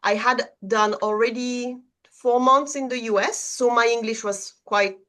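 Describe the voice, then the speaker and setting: A woman's voice: a little high, a little slow. A middle-aged woman from France with a French accent, a guest telling her story in a casual interview and often checking in with "right?". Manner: animated storyteller, often ending points with "right?". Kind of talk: conversational interview.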